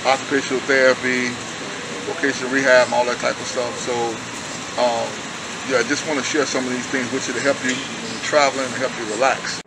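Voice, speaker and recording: A man's voice: mid-range voice, brisk. Rambling middle-aged man, noisy airport hum. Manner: relaxed, rambling with many restarts. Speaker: vlogger sharing stretching tips. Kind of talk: casual how-to vlog. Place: airport gate and plane seat. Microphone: handheld phone camera at arm's length. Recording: noisy cabin and terminal hum, roomy.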